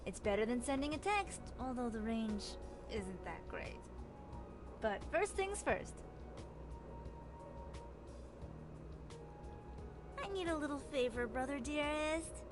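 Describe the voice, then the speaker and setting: High-voiced, bossy, scheming young woman. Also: expressive, normal speed. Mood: sly, teasing. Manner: teasing, confident, commanding. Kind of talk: visual novel game voice acting. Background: game music.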